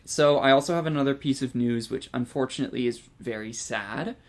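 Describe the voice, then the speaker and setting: A Canadian man co-hosting a podcast, chatting casually about horror news with a relaxed, rambling manner full of "like" and "um". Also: medium pitch, a little fast, a crowd in the background. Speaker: podcast co-host.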